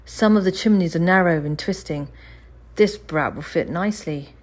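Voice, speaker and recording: A callous chimney sweep man from a storybook, acted out by a woman. He talks loudly and bluntly in rough grammar, dismissing anyone who objects. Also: fairly low pitch, moderate pace, lightly acted. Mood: sizing up. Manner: loud, blunt, uneducated grammar.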